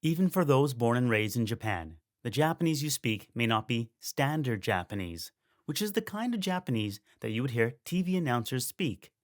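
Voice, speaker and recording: A man narrating a documentary in an even, explanatory way. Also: mid-range voice, moderate pace, no echo, clean studio sound. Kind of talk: scripted narration.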